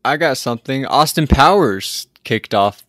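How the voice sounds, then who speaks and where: A man's voice: mid-range voice, expressive, measured pace, a bit bright. A man co-hosting a casual film podcast, curious and full of questions. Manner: curious, asks many questions. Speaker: podcast co-host.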